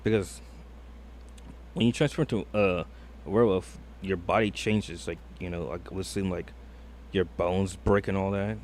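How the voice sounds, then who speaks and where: A man's voice: deep voice, steady tone, moderate pace, darkish tone, a little hiss or hum. A man streaming a reaction video, thinking aloud in a hesitant, rambling way full of fillers. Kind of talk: casual reaction commentary.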